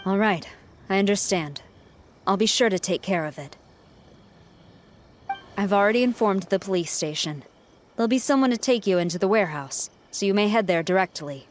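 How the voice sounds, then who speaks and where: An actress playing a stern, dutiful military officer in a video game, formal, composed and businesslike. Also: female voice, medium pitch, expressive, moderate pace, pauses often, a little hiss or hum, lightly acted.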